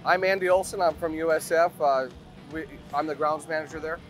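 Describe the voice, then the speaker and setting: A man's voice: on the high side, fairly even intonation, fairly quick, a bit bright, soft background music. A middle-aged man, a grounds manager, giving a casual outdoor testimonial. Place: outdoors at a trade expo. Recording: noisy outdoor expo ambience.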